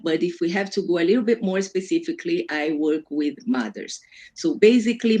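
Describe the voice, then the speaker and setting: A woman's voice: on the low side, darkish tone. A woman from Brazil with a Brazilian accent, a native Portuguese speaker, presenting an online workshop in a conversational way and rephrasing as she explains. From Brazil, Amazon region. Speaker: workshop presenter, self-development coach.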